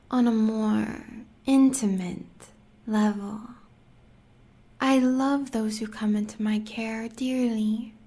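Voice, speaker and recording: A sadistic, seductive huntress with an American accent and a fairly high voice. She taunts with extremely slow, savored phrases that often sink toward a whisper, in the manner of an audio roleplay villainess. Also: dark tone.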